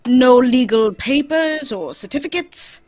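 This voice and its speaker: A shrewd gentleman detective played by a woman, high in pitch and slightly husky. The delivery swings widely in tone, formal and polite, in the manner of an old-time radio mystery. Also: animated, a little slow, soft background music. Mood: probing, courteous.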